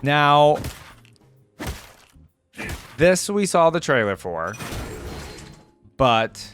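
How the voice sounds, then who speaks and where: A man's voice: fairly high pitch, big pitch swings, extremely slow, fairly bright tone, faint music behind. A man giving casual reaction commentary in an informal, slangy gamer way. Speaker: reaction video host.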